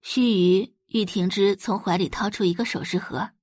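A woman reading scripted story narration as an expressive audiobook narrator. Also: medium pitch, normal speed, no echo.

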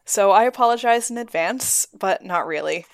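A woman hosting a podcast in casual chat, friendly and quick to laugh. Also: mid-range voice, expressive, dry recording. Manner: friendly, laughs often, a few ums. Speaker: podcast host and editor.

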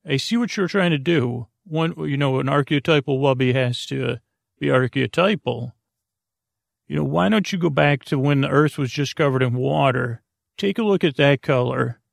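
A man with a creaky voice hosting a bedtime podcast, rambling in an improvised, halting monologue that meanders and loses its thread. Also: mid-range voice, no echo, studio quality. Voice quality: creaky, gravelly. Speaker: podcast host.

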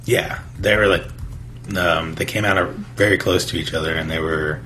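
Deep, bright-toned hesitant man. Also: steady tone, normal speed, slight background noise. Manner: hesitant, lots of "like" and "um". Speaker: podcast co-host. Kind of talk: casual chat about video games.

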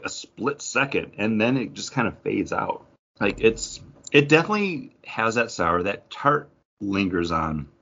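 A man's voice: fairly low pitch, fairly bright tone, husky, highs cut off. A man hosting a casual podcast, chatting in an enthusiastic, conversational way. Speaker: podcast host.

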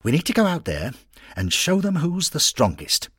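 A man voicing an eager young male team captain with a raised, excited delivery. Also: normal speed, husky, no echo, clean studio sound, full character voice. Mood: rousing. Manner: excited, raised and higher-pitched.

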